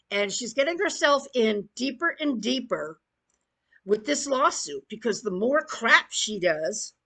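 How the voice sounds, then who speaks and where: A woman's voice: fairly high pitch, fairly bright tone. A woman giving an opinionated rant as an online commentator, blunt, emphatic and scornful in her delivery. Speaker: YouTube commentator.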